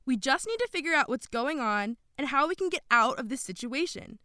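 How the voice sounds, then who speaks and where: A woman's voice: medium pitch, very animated, normal speed, a bit bright, no echo, studio quality. A woman voicing an alarmed, urgent teenage girl in acted storybook dialogue. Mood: urgent, commanding.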